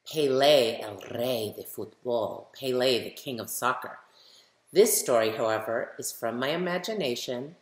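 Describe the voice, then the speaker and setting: A middle-aged American woman, a children's author, reads a picture book aloud and speaks directly to young listeners with emphatic, animated delivery. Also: very deep voice. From United States. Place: medium living room at home, indoors. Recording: roomy, echoey home recording.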